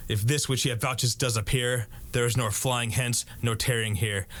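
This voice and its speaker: A man's voice: medium pitch, fairly even intonation, moderate pace, fairly bright tone, lightly acted. A young man playing a defiant, doomed warrior king in a Shakespearean radio play, delivering verse in a declamatory way. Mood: desperate, defiant. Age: young adult. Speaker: student actor.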